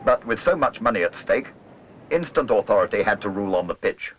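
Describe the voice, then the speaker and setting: A man's voice: on the low side, lively intonation, fairly quick, slight background noise. A man reading scripted documentary narration. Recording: muffled old film soundtrack with music. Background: music.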